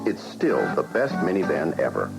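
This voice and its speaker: Deep-voiced male ad announcer, music bed. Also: wildly swooping pitch, moderate pace, gravelly. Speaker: commercial announcer. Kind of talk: scripted radio ad read.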